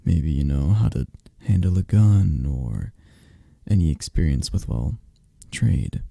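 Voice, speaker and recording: An intimidating, possessive mafia boss played by a man in an intimate ASMR roleplay. His voice is very dark and husky, close and dry, teasing and flirtatious as it swings lazily between murmurs and firmer orders. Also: rumbling low, animated, no echo, heavily acted. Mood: businesslike, commanding. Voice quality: husky, gravelly, at times breathy. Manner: slow, murmuring, teasing, with drawn-out pauses.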